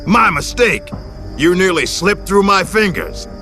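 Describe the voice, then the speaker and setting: A man's voice: high voice, animated, measured pace, bright, forceful tone, soft background music, exaggerated voice. A man voicing a cruel, gloating officer in a video game, with a theatrical, sneering and menacing delivery. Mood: smug. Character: cruel gloating male intelligence officer interrogating a captive. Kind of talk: dramatic video game voice acting.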